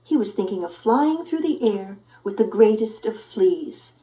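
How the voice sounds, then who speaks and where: A woman reading a children's picture book aloud in an expressive, theatrical, playful way. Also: mid-range voice, a little slow, rough recording. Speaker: storyteller.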